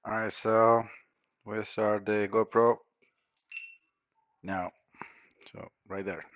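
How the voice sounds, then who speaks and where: A man's voice: on the low side, little pitch movement, slow, a bit bright, dry recording. A man from Costa Rica with a Costa Rican Spanish accent, a native Spanish speaker, thinking aloud as he works through a hands-on electronics repair at his bench. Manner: muttering to himself while working, informal. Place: repair workbench indoors. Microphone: action camera mic. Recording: dull lo-fi action camera audio.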